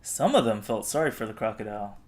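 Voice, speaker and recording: A man narrating a children's storybook expressively, with dramatic, deliberate phrasing. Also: on the low side, expressive, darkish tone. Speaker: storybook reader.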